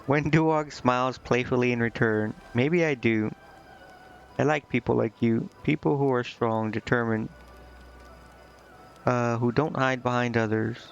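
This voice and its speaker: A man's voice: mid-range voice, fairly even intonation, a little slow, vocal fry, highs cut off, soft background music. A man doing casual game-stream commentary, reading bits aloud and muttering to himself in a hesitant, rambling way.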